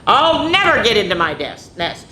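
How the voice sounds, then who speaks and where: A woman's voice: medium pitch, big pitch swings, moderate pace, bright, forceful tone, reverberant, a little hiss or hum, rough recording. A woman voicing a distressed, indignant little female hedgehog in a storybook, wailing and exclaiming. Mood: frustrated.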